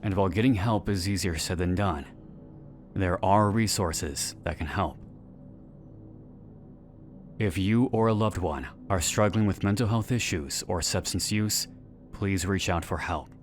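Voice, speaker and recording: A man reading a scripted video-essay narration in a measured, earnest and solemn way. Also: low-pitched. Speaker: narrator of a series analysis video. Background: background music.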